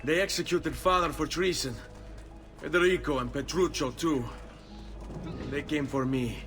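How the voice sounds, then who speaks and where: A man's voice: on the high side, moderate pace, a bit bright, faint music behind, natural voice. An actor playing a proud, headstrong young Italian nobleman in a video game, with an Italian accent and an earnest, formal manner. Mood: grieving. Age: young adult. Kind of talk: video game cutscene acting.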